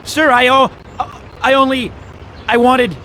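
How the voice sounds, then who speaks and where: A man's voice: very high voice, steady tone, measured pace, pushed and bright, full character voice, rough recording. An actor playing a frightened man in a video game, stuttering apologies and hesitating as he cowers before a mobster. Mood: terrified, pleading. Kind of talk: video game cutscene acting. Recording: clear vehicle and street noise.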